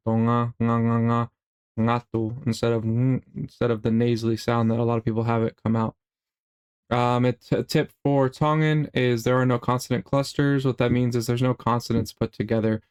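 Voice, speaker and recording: Flat-toned man teaching a language lesson. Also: mid-range voice, smooth. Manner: relaxed, with many fillers and restarts. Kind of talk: slideshow lesson voice-over.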